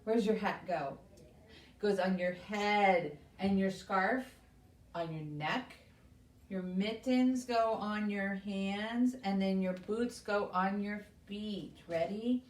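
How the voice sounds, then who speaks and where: A woman hosts a story time for young children at an unhurried pace. She speaks in simple, encouraging instructions and questions. Her voice comes from a little way off the microphone in an echoey room. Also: mid-range voice. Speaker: library story time host. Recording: roomy echo, distant microphone.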